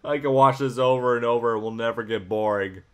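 A man's voice: mid-range voice, brisk, clean tone, close to an everyday voice. A man playing a crude, domineering narrator who swears at his staff in a cartoon parody skit. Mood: amused. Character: crude, bossy male narrator bullying his editor. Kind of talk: comedic skit host.

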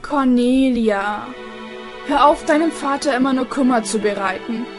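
A stern royal father from a fantasy audio play , acted by a woman. The voice is fairly high and commanding, over clearly audible music. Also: a little slow. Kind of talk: amateur audio drama acting.

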